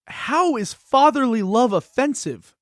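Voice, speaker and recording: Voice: medium pitch, lively intonation, a little slow, no echo, clean studio sound, close to an everyday voice. A performer voicing a naive, earnest book in a puppet show, pleading and indignant in a theatrical way. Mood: worried, bewildered. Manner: plaintive, indignant, emphatic pleading. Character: naive, earnest picture book facing a ban trial.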